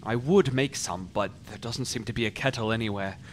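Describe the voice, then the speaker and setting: A man's voice: on the low side, brisk, a little hiss or hum, close to an everyday voice. A man playing a helpful, easygoing police officer in a radio play, casual and obliging.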